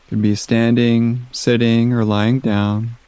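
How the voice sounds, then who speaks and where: A man leading a guided meditation in a gentle, soothing manner, giving soft instructions with long pauses. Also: mid-range voice, flat delivery, clean tone, dry recording.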